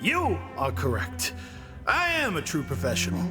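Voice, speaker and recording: A man's voice: a little high, wildly swooping pitch, moderate pace. A man playing a gossipy, cynical prison cook who boasts and scorns in a conspiratorial, opinionated way in a video game. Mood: boastful, conspiratorial. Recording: game music behind the voice.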